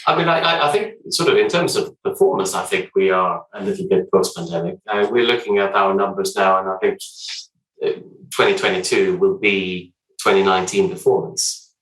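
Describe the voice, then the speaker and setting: A man from Sweden with a Swedish accent, a guest talking through his work in a measured, hesitant way with frequent restarts. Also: low voice, a bit bright, echoey room, distant mic, rough recording. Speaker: hotel development director, podcast guest. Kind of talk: casual interview chat.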